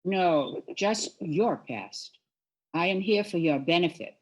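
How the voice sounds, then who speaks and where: A woman playing a calm, gentle but commanding spirit in a radio play, with a measured, solemn delivery. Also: on the low side, low-quality recording.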